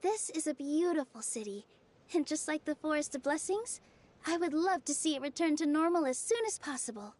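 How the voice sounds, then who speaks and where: A woman playing a gentle, kind young woman in a video game, sweet and sincere. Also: high-pitched, animated, fairly quick. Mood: warm, sincere. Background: game music.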